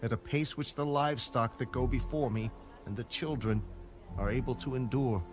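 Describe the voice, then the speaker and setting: Male actor as humble pleading brother, mid-pitched, deferential, measured, scripture audio drama, clear music underneath. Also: natural voice. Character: humble, pleading man appeasing his brother.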